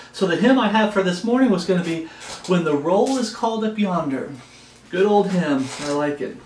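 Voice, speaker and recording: Middle-aged man for an informal Bible teaching role, high-set voice, earnest and repetitive, captured in a roomy-sounding office. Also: slight background noise. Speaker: preacher, Bible study host. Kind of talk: informal Bible study sermon. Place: small home office, indoors. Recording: roomy, echoey indoor sound.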